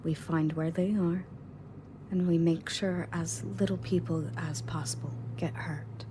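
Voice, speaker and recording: A woman's voice: on the low side, steady tone, a little slow, close to an everyday voice. A woman playing a confident, matter-of-fact rescue agent in an audio roleplay, speaking conversationally to the listener. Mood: casual, wry. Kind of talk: scripted audio roleplay, in-character monologue to listener. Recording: clearly audible background noise and ambience.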